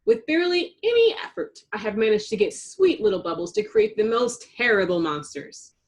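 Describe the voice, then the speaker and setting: A woman voicing a gloating, scheming demon villain from a children's storybook, with mocking, drawn-out delivery. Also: fairly high pitch, huge pitch swings.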